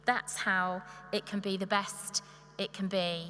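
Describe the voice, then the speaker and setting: Bright-voiced woman giving a welcome speech. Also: medium pitch, little pitch movement, slow pace. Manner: warm, encouraging, listing points. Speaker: university staff speaker. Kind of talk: formal welcome address. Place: large hall with long reverb. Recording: echoey hall, faint noise.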